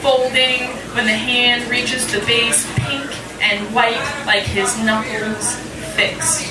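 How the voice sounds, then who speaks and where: A woman's voice: on the high side, fairly even intonation, slow, loud music behind the voice. Echoey, distant woman poet reading live. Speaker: poet, featured reader. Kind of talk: live poetry reading with informal asides. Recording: echoey, distant mic, loud crowd noise.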